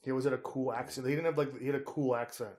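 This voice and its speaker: A man's voice: medium pitch, fairly even intonation, rapid-fire, a little mellow. A man co-hosting a casual true-crime podcast, talking conversationally and often groping for words and names. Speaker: podcast co-host.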